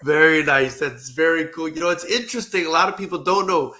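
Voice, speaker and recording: A man's voice: fairly high pitch, a little fast, bright, forceful tone. A man hosting an interview, enthusiastic and rambling, with many fillers as he asks questions. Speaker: interview host. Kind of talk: casual interview.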